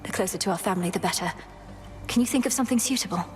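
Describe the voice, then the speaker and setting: An actress playing a young witch princess in a video game, a determined and earnest sister with a formal, measured way of speaking. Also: mid-range voice, faint music behind, close to an everyday voice. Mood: thoughtful. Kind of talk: video game character dialogue.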